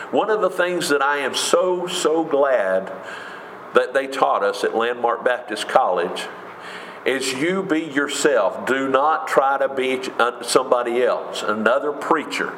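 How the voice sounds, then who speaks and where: A man with a big booming voice preaching a sermon in an emphatic, conversational way, with rhetorical questions. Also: expressive, a little slow, a bit bright, a little hiss or hum. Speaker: pastor. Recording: echoey room with faint ambience.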